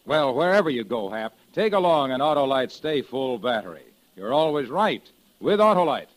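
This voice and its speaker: An actor playing an upbeat, persuasive male announcer in a brisk 1950s radio commercial sketch. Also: medium pitch, big pitch swings, close to an everyday voice, poor audio. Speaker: announcer and sponsor pitchman.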